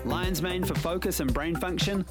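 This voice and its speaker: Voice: medium pitch, moderate pace, over loud music. An announcer of ambiguous sex reading a scripted radio advertisement for a product. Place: radio production over loud music.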